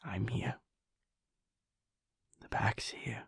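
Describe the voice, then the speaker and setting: A man voicing a caring adult werewolf boyfriend in an audio roleplay, talking earnestly and softly, often close to a whisper. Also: lowish voice, fairly even intonation, very slow, vocal fry, breathy edge, no echo, full character voice. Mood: tender, reassuring.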